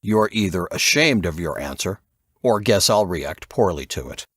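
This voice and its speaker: A man voicing a stern, probing old intelligence veteran in an audiobook, terse, commanding and deliberate. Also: low voice, expressive, fairly bright tone, no echo, studio quality. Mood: stern, challenging. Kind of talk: audiobook character dialogue.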